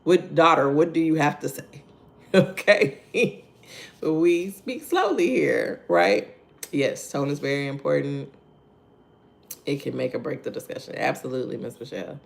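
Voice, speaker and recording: A soft-spoken woman with a Texan accent, leading a slow, conversational morning devotional and greeting viewers by name. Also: low-pitched, vocal fry. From Texas. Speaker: devotional host. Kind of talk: live-streamed morning devotional.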